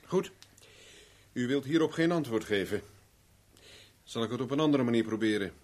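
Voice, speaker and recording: A self-assured private investigator in a seventies radio play. His pitch swings widely as he probes with dry irony, and the voice has a slightly husky, rough edge. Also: a little mellow. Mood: probing, reasoning. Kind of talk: 1970s Dutch radio drama acting.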